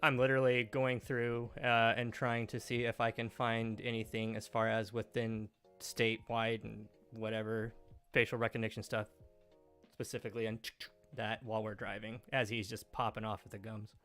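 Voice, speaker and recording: Bright-voiced, cheeky man at a gaming table. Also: on the low side, little pitch movement, moderate pace, smooth, faint music behind. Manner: teasing, cheeky. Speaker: player in a tabletop roleplaying game. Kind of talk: casual in-character banter.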